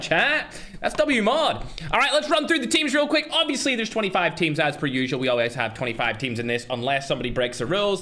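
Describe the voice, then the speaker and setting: A man runs a live stream in a loose, brash chat style and talks straight at his viewers. His voice sits a bit high and sounds sharp and bright. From Northern Ireland. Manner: brash, fast-flowing, talks straight to chat, trash-talking. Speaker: livestream host and tournament organizer.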